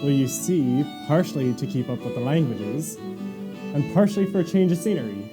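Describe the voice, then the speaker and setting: A man playing a polite but defensive gentleman who stalls and hedges. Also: mid-range voice, expressive, normal speed, music in the background, close to an everyday voice. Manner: hesitant, stalling. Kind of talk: staged reading of a short story scene.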